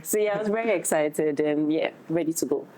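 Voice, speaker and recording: A woman's voice: low voice, a little fast, over a noisy crowd. A woman chatting casually and playfully as an actress in a discussion. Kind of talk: casual chat. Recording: noticeable background noise.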